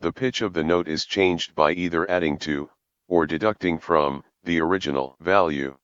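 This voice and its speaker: A man with a low, resonant voice narrates a tutorial in measured, formal sentences. The recording is dry and close, with a slightly dull top end. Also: a bit bright, clear, resonant tone, no room sound. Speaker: programming tutorial presenter.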